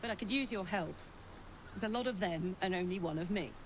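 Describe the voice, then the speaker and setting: An actress as a brash, battle-hardened woman warrior from a fantasy video game. She talks bluntly and cockily, swearing freely, in a mid-range voice. Clear noise sits behind her. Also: mid-range voice, brisk, lightly acted. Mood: fired up. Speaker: video game companion character. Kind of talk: dramatic video game voice acting.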